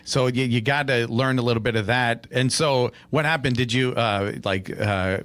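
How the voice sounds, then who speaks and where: A middle-aged man hosts a local radio interview, his mid-pitched voice close and dry on the studio mic. He chats easily, affirms the guest often and leads him with questions. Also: moderate pace, fairly bright tone, dry recording. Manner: chatty, affirming, asks leading questions. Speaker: radio host.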